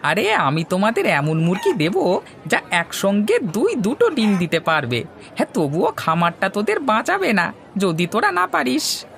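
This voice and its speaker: A woman as a wildly animated chicken seller. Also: medium pitch, normal speed, gravelly, heavily acted. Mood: teasing. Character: brash, teasing village chicken seller. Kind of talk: comic Bengali cartoon dialogue. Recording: faint farmyard noise, crowing roosters.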